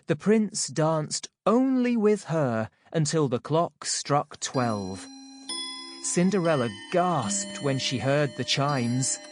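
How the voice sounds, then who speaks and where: A woman narrating a children's audiobook from a script, in a measured, expressive, clearly phrased way. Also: sits low, no room sound, soft background music.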